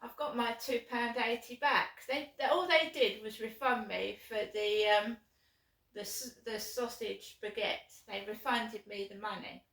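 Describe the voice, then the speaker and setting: A woman with a sore throat vlogging alone from her flat, rambling and aggrieved, with many ums. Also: on the high side, unhurried, gravelly. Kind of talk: casual chat to camera. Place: small flat, indoors. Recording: echoey room, mic at a distance.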